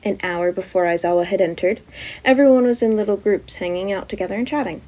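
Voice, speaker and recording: A woman's voice: medium pitch, fast, a little mellow, poor audio. A woman reading scripted story narration as a podfic narrator.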